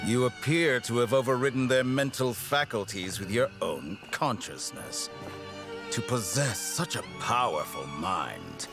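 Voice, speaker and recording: An actor playing a cold, calculating scholar villain in a video game, eerily composed, with measured, formal delivery. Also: male voice, mid-range voice, very animated. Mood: coldly fascinated. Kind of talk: video game character acting. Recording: game dialogue over music.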